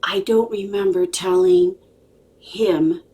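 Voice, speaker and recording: A woman's voice: mid-range voice, slow pace, dark tone. Emphatic woman telling a dramatic personal story. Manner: emphatic, repeats phrases, checks with "okay?". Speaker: psychic medium and storyteller.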